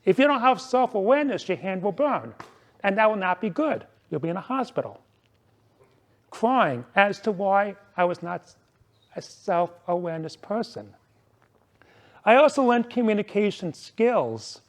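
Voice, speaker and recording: A man in his forties with a high-pitched voice delivers a prepared speech to a club. He speaks deliberately and emphatically, repeating key words and posing rhetorical questions. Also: big pitch swings. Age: 40s. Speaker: club member giving a speech.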